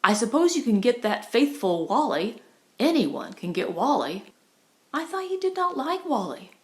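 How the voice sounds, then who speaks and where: A woman's voice: fairly high pitch, big pitch swings, normal speed, darkish tone. A woman narrator voicing a persistent, thwarted teenage girl with a rather complaining manner, in an audiobook.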